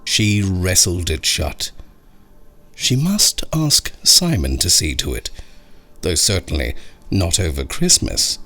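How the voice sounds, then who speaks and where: A man narrating a scary short story in a husky voice, with slow, expressive, dramatic delivery. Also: low voice. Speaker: narrator of horror short stories. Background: music under the voice.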